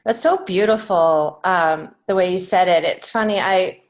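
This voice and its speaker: Low-pitched American woman telling stories. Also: unhurried. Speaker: podcast guest, children's author and teacher. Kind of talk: conversational interview storytelling.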